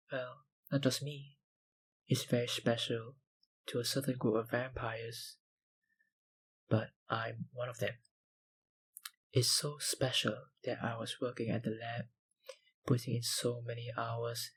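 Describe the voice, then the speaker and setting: A man playing an obsessive, dominant, hungry vampire researcher in an intimate ASMR roleplay, with a breathy, gravelly voice and a softly menacing manner. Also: mid-range voice, a little hiss or hum, rough recording. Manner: slow, intimate, softly menacing, lapsing into whispers.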